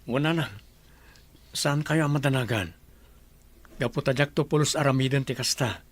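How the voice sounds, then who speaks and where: A man's voice: medium pitch, normal speed, natural voice. A man with an Ilocano accent playing a respectful, humble businessman in a radio drama. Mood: respectful, earnest.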